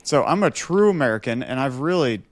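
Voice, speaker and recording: A man co-hosting a podcast in casual comic banter, telling stories in a mock-serious, boastful deadpan. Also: medium pitch, fairly quick, dry recording. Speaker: podcast co-host.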